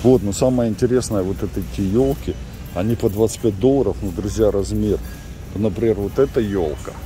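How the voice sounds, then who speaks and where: A man's voice: on the low side, brisk, mellow, soft background music. A middle-aged man chatting casually to viewers while filming in a large warehouse store. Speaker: vlogger. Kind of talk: casual vlog chat while shopping. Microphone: handheld camera.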